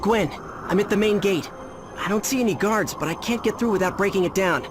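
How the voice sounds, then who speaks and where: A confident teenage boy hero from a video game, voiced by a man, pitched quite high. He talks casually with a sarcastic, wisecracking edge, and music plays behind him. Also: brisk, lightly acted. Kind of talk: video game cutscene acting.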